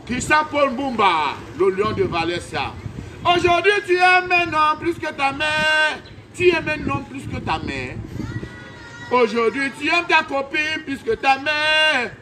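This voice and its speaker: A man hosting a charity appeal as a singer, moving between call-and-response singing and casual, directive banter in animated, drawn-out phrases. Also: very high-pitched, background noise.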